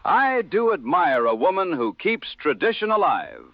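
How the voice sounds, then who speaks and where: A man's voice: sits high, very animated, normal speed, no room sound. A man playing a wry, upbeat 1960s radio host bantering between segments. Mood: wry, cheerful. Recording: dull lo-fi vintage radio sound.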